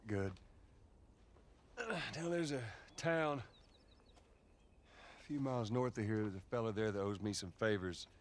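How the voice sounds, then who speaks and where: Gruff, deep-voiced middle-aged survivor played by an actor. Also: male voice, unhurried, long pauses, lightly acted. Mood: stern, controlled. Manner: terse, commanding. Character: gruff, hardened middle-aged male survivor, stubborn and guarded. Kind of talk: dramatic video game acting.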